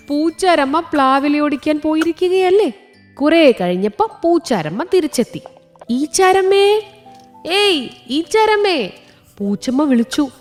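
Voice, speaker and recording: A high-pitched woman storyteller reads a children's tale with lively, swinging intonation. She is expressive throughout, and music plays along behind her. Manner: expressive, with sound words. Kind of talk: scripted children's story narration.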